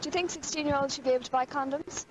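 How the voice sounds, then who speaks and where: A woman briskly putting direct questions to passers-by in an old street interview. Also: sits high, fairly even intonation, heavy background noise. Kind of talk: vox-pop street interview. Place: outdoors on a city street. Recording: old archive TV clip, busy street.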